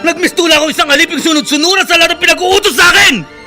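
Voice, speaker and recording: A man's voice: very high-pitched, rapid-fire, bright, forceful tone, faint music behind, heavily acted. An actor playing an aggrieved, hot-headed, proud male clerk in an emotional, protesting radio drama style. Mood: anguished. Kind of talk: melodramatic radio drama acting.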